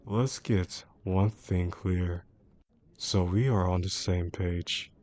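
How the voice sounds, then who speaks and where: A man playing a possessive, menacing boss in a romantic audio roleplay, speaking in a controlled, formal way with a gravelly voice. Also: sits low, steady tone, darkish tone. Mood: cold, threatening. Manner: controlled, deliberate, formal wording. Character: possessive, menacing male boss obsessed with an employee.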